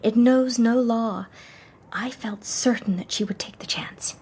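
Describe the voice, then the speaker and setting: An actress playing a poised, sharp-witted young socialite who works secretly as a detective, composed and arch in a radio drama. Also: female voice, a little high, a little slow, breathy edge. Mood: knowing, deliberate. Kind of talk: audio drama acting.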